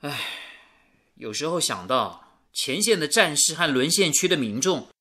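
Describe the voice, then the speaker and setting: An actor playing a principled, sensible young radio engineer in a radio drama, explaining things earnestly. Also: male voice, on the high side, animated, unhurried, pushed and bright, heavily acted. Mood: reflective.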